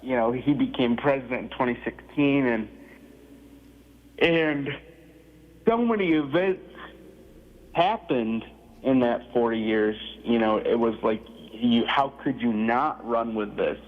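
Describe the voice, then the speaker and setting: A man calling in to a radio show, chatty and laughing often, his voice a little gravelly today. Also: mid-range voice, slow pace, long pauses, narrow, tinny audio. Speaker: radio guest, impressionist. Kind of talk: casual phone chat. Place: remote call from Florida.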